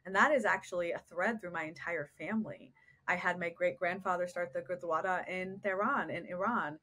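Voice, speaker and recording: A woman's voice: lowish voice, moderate pace, pushed and bright. A woman, a therapist speaking as a guest on a podcast interview, earnest and reflective, often posing rhetorical questions. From United States.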